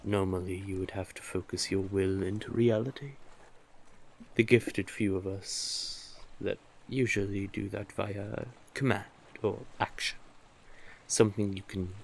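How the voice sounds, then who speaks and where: A man acting an older male sea witch, very slow delivery, deep voice, doting, coaxing, intimate fantasy audio drama. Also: darkish tone. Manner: soothing, coaxing, doting, deliberate. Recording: clearly noisy, sea-cave ambience effects.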